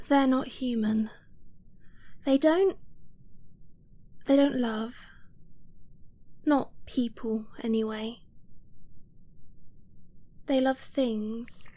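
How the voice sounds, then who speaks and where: Slow, soft, halting girlfriend confiding , acted by a woman. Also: sits high, full character voice. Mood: confiding, hesitant. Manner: soft, halting, intimate, often dropping to a whisper. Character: affectionate, earnest dragon-blooded girlfriend confessing a secret. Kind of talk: ASMR romance roleplay.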